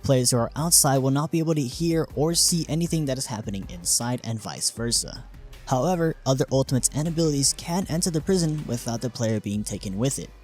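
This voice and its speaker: A man's voice: medium pitch, expressive, a little fast, darkish tone. Man narrating a game explainer over music. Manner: explanatory, informal asides. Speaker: video creator and host. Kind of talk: scripted explainer narration about a game character concept. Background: music behind the voice.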